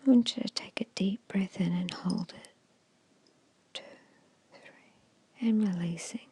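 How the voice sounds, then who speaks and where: A woman guiding an ASMR meditation in a hushed, soothing, breathy whisper with short, measured phrases. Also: fairly low pitch. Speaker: hypnosis and meditation guide.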